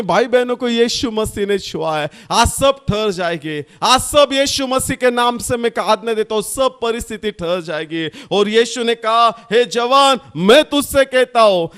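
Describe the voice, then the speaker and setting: High-voiced man preaching a fervent sermon. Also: a bit bright, clear, resonant tone. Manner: impassioned, repetitive, sing-song preaching cadence.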